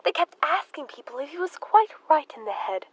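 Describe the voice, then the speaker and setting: High-pitched, fretful spinster played by a woman. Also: very animated, brisk, no echo. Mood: indignant. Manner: fluttery, pleading, defensive. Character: anxious, fretful spinster sister, loyal and easily distressed. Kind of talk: theatrical radio drama acting.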